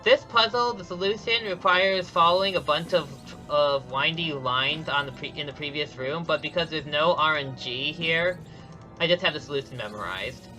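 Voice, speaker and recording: A man's voice: a little high, normal speed, pushed and bright, poor audio. A man commentating his own speedrun live. He explains each step casually, often restarting sentences, with light chuckles. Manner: explanatory, frequent restarts and self-corrections. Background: game music.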